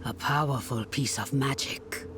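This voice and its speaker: A woman's voice: very deep voice, fairly even intonation, a little slow, noisy background. An actress playing a troubled, haunted young woman in a video game, subdued and hesitant. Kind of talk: dramatic video game cutscene acting. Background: loud ominous game music.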